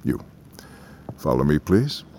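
A man playing a smug, predatory wealthy tycoon in an audio drama, suave, unhurried and commanding. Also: extremely low pitch, sing-song, warm tone, exaggerated voice. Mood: suave, seductive.

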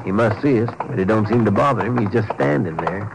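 A man's voice: fairly low pitch, fairly quick, gravelly. A man playing a plain-spoken, folksy small-town Texas sheriff in a 1950s radio western. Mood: alert. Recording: noisy old 1950s radio recording.

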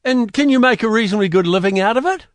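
A man's voice: high-pitched, expressive, quick pace. A man hosting a radio interview, asking chatty, friendly questions. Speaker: radio host. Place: radio studio. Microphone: studio mic.

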